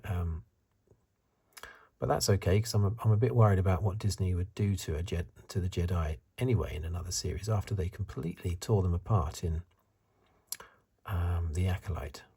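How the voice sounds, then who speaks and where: Breathy, low-voiced man, soft ASMR. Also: steady tone, moderate pace. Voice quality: breathy, husky. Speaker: ASMR reviewer. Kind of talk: soft-spoken whispered ASMR commentary.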